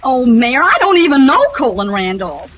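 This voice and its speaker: A woman's voice: high-pitched, sing-song, normal speed. An actress playing a flustered, naive teenage girl in a gushing, hesitant 1940s radio style. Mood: sheepish, miserable. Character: flustered, naive teenage girl who fibbed about a boyfriend. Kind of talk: 1940s radio comedy-drama acting. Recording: dull, hissy old radio recording.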